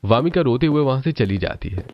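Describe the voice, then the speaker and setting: A man's voice: medium pitch, animated, a little fast, no room sound, muffled recording. A man narrating a family story from a script.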